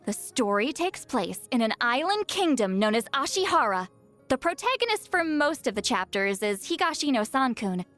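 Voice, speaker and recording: High swoopy anime-game seer girl, haughty but awkward n flustered, expressive. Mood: enthusiastic explaining. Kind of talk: video game character voice lines.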